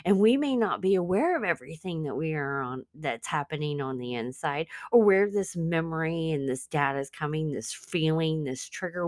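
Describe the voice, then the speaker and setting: Lower-voiced woman host, non-native English speaker. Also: darkish tone, no echo, studio quality. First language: not English. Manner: earnest, conversational, addresses listeners directly. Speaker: podcast host and spiritual business coach. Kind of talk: informal spiritual self-help teaching.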